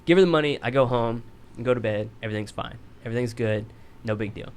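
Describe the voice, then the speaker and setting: A man's voice: mid-range voice, fast, darkish tone. A man telling a long, rambling story on a podcast, casual and profane, poking fun at himself. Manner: rambling, profane, self-mocking.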